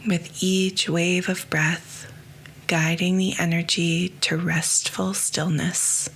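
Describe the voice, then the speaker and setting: A woman leads a guided yoga nidra meditation in a soft, hushed voice that often drops into a whisper. She speaks very slowly on a low, even pitch with a gravelly fry. A faint hiss sits under the voice. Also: low-quality recording.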